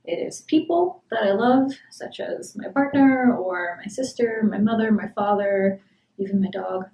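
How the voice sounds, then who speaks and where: Calm, articulate young woman, mellow tone. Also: mid-range voice, a little slow. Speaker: international student. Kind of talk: documentary interview. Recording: echoey room.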